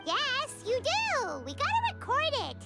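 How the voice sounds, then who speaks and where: A woman's voice: very high-pitched, wildly swooping pitch, a little slow, bright, forceful tone, faint music behind, heavily acted. A woman voicing a tiny, pert, outspoken fairy companion in an anime-style video game. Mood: pert, eager. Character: tiny, squeaky, bossy floating fairy companion.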